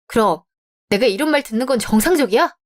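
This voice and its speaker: A woman voicing a composed young bride-to-be in a radio drama, speaking calmly and firmly. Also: fairly high pitch, fast talker, close to an everyday voice. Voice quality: calm, firm.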